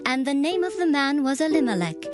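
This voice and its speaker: A woman narrator reading scripture as a scripted dramatized story, in a measured, solemn storytelling manner. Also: high-pitched, sing-song. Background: music.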